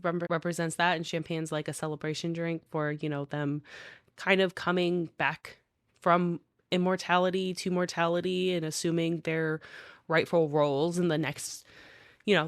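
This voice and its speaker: A woman co-hosting a book podcast, engaged and recapping the story in a steady, explaining way with occasional fillers. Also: low-pitched, dry recording, clean studio sound. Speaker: podcast co-host.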